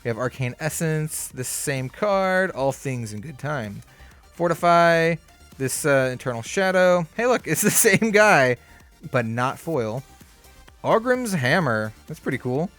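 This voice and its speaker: A man hosting a card game channel, chatting casually through an unboxing, easygoing and upbeat with lots of fillers, his voice a bit hoarse. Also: on the high side, sing-song, measured pace, fairly bright tone, faint music behind. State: slightly hoarse.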